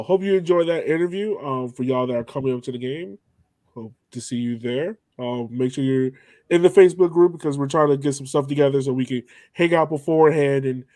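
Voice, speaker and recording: A man's voice: mid-range voice, darkish tone, no room sound. A man hosting a podcast, chatting casually about sport in an informal way. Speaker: podcast host.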